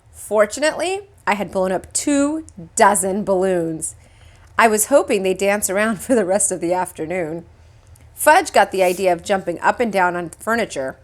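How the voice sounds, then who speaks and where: A woman reading a children's book aloud like a teacher, expressively and acting out the dialogue. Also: mid-range voice. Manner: animated, acts out dialogue.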